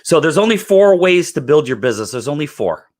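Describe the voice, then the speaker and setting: Raspy emphatic man teaching a training. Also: on the high side, big pitch swings, brisk, a little mellow. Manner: emphatic, repeats points, clears throat often. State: raspy voice, recovering from illness. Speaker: real estate trainer. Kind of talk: live training presentation.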